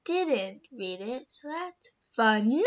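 A teenage girl co-hosts an improv comedy podcast in a high voice. She rambles in a playful, theatrical way. The sound is dull and lo-fi. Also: very animated, extremely slow. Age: teens. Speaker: podcast co-host.

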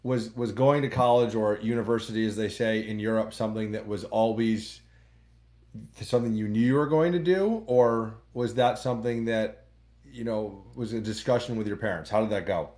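A man hosts a podcast with a fairly low voice, easing into his questions with plenty of "you know" and false starts. He sounds friendly and casual, circling a question before finally landing on it. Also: fairly even intonation, normal speed, a little mellow. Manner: rambling, many restarts and fillers, clears throat. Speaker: podcast host. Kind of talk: conversational interview.

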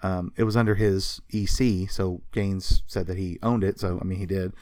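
A man's voice: deep voice, steady tone, normal speed, mellow, dry recording, clean studio sound. A man co-hosting a podcast, telling history in an informal, conversational way with plenty of emphasis. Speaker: podcast co-host. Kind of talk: casual podcast storytelling about pop culture history.

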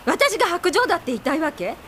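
An actress in a radio drama playing a sarcastic, resentful middle-aged daughter who speaks bluntly and combatively. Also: female voice, very high voice, sing-song, fast, a bit bright, a little hiss or hum, heavily acted. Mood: angry, defiant. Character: sharp-tongued, resentful grown daughter, a screenwriter. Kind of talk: Japanese radio drama acting.

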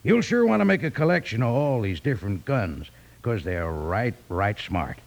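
An actor playing a chummy, folksy cowboy pitchman with a gravelly voice in a 1950s children's radio commercial. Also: normal speed, muffled recording. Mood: enthusiastic. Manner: animated, folksy Western exclamations.

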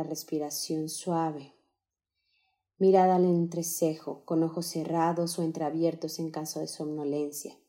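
A woman leading a guided meditation in a calm, soothing, unhurried manner, giving gentle instructions with pauses. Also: sits low.

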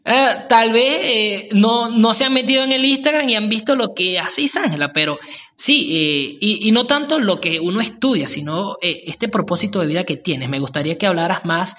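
A man hosting a casual podcast interview, speaking in a warm, rambling way with frequent hesitations. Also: high-pitched, expressive, normal speed. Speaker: podcast host.

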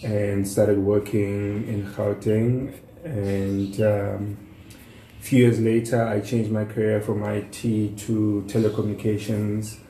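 A man in his thirties with a South African accent, speaking casually and haltingly as an interview guest. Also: lowish voice, little pitch movement, warm tone. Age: 30s. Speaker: podcast guest. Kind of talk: casual self-introduction. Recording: echoey room, faint background noise.

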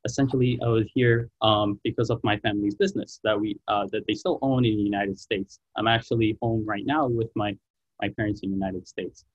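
A man's voice: mid-range voice, a little mellow, dry recording, dull audio. A young man born in Trinidad, a student guest talking earnestly on a video call from home, often restarting his sentences. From Trinidad and Tobago. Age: young adult. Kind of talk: online panel Q&A.

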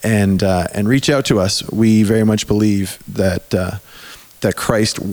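Podcast host guy, voice shot and hoarse, lowish, rambly and relaxed. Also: measured pace. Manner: loose and chatty, restarts sentences. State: hoarse, voice mostly gone. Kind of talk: casual podcast chat.

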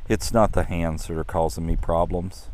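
The Lord as a proud, tireless male creator in a storybook poem, read out by a man. Earnest and unhurried, with a very low voice. He tells the story more than he acts it. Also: fairly even intonation, a little mellow, a little hiss or hum, close to an everyday voice.

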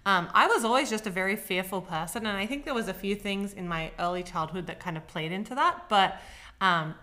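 Bright-voiced Australian woman, chatty and laughing. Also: mid-range voice, brisk. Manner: chatty, laughs often, self-deprecating. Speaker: podcast co-host. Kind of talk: casual chat.

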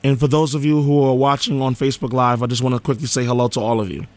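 A man's voice: medium pitch, little pitch movement, fast, a little mellow, dry recording. A man hosting a live college radio show, speaking earnestly with a sore, cracking voice. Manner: earnest, heartfelt thank-yous and station promos. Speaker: radio host. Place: radio studio. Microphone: studio microphone.

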